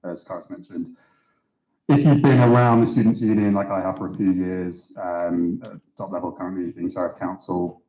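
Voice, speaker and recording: Deep-voiced young man making a campaign pitch. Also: fairly even intonation, normal speed, dark tone, roomy sound, lo-fi sound. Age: young adult. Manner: confident, persuasive, speechlike. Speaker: student election candidate, chair of council. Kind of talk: timed candidate answer at a hustings. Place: students' union event room.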